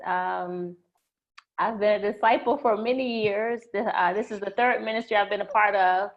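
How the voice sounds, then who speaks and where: A woman from the United States, a church member and math teacher, sharing casually on a video call in a soft, hesitant way with many fillers. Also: mid-range voice, normal speed. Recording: muffled lo-fi video call audio.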